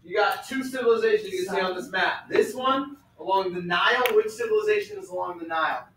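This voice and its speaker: A man's voice: sits high, brisk, bright, forceful tone. A man teaching a school class in an informal, instructive way, explaining and asking questions. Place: school classroom, distant mic, noise behind. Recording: noisy echoey classroom, far from mic.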